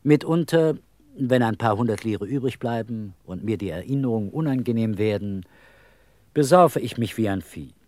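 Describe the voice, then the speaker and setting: An actor playing a bitter, wry homeless drifter in a German radio play, confessing in halting phrases with sudden dramatic emphasis. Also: male voice, lowish voice, animated, darkish tone, no room sound, natural voice. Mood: bitter confession.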